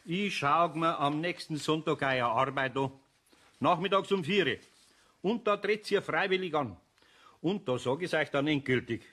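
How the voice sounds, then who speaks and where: An older Bavarian craftsman in a radio play, with a fairly high male voice. He grumbles and scolds in broad dialect, yet stays good-natured underneath. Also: expressive, normal speed, pushed and bright. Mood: gruff, relenting. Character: gruff but kindly older master craftsman. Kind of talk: children's audio play acting.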